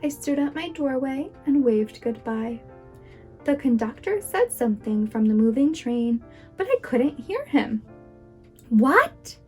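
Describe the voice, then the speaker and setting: A woman reading a children's story aloud as a preschool teacher would, with dramatic, deliberate expression. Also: high voice, expressive, dark tone, slightly rough. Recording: music behind the voice. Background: music.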